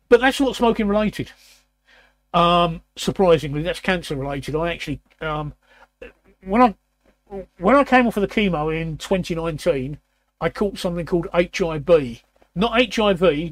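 A man in his sixties with a croaky, raspy voice, hosting a casual chat and often clearing his throat. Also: high-pitched, no room sound. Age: 60s. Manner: repeats words, frequent throat clearing. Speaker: host.

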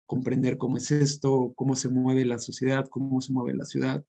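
A man's voice: mid-range voice, completely flat delivery, normal speed, vocal fry, warm tone. Hesitant Mexican man, podcast guest. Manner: thoughtful, hesitant, many fillers. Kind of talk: casual conversational chat. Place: living in northern England.

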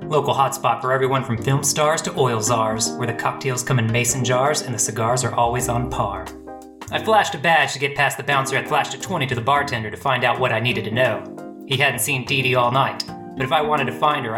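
Woman playing a very low-voiced hard-boiled male detective. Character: hard-boiled, wisecracking male noir detective, deadpan and world-weary. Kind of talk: radio-play noir narration and dialogue.

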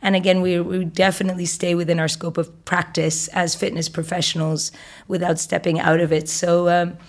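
A woman's voice: lowish voice, level tone, normal speed. A woman in her forties from Egypt, a fitness educator who talks as an interview guest, explaining fluently with frequent um and uh. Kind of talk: interview conversation.

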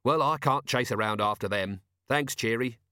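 A man playing a gruff, impatient middle-aged city watch commander who speaks in curt, exasperated bursts. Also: mid-range voice, normal speed, pushed and bright, dry recording, clean studio sound, close to an everyday voice.

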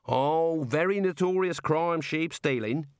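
A man's voice: mid-range voice, very animated, normal speed, a bit bright, dry recording, studio quality, voice pushed up. Man voicing a cheerful prison warder. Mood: chatty, encouraging. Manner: folksy colloquial Australian-style slang. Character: cheerful, matter-of-fact male prison warder, chatty and obliging. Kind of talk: comic audiobook character voice.

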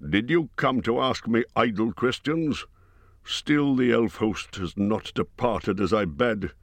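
A man voicing a proud, wrathful male dwarf king, speaking slowly and forcefully. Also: medium pitch, no room sound, pitched above the performer's own voice. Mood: haughty. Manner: slow, stern, forceful delivery.

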